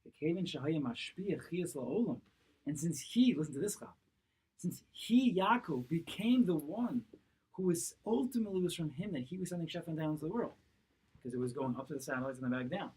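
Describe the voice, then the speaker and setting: A man's voice: on the high side, lively intonation, a little fast, a little mellow, slightly rough, rough recording. A man in his thirties, a rabbi teaching a text class, asking questions and answering them himself while repeating key phrases. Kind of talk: Torah class, explaining a text. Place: small synagogue study room, seated at a table. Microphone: camera a few feet away across the table.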